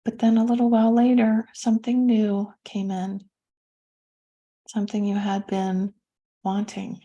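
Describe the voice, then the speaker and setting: A woman leading a guided meditation as a teacher, speaking gently and soothingly with pauses between phrases. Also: mid-range voice, completely flat delivery, highs cut off.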